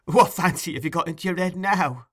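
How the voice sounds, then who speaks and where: A man's voice: high-pitched, brisk, a bit bright, pitched above the performer's own voice. A man voicing a tired, tender young woman pleading with a harsh partner in a storybook reading.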